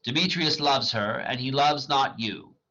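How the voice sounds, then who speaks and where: A man's voice: medium pitch, a little slow, bright, forceful tone, voice pushed up. A man reading an earnest young lover's lines aloud. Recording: dull lo-fi recording.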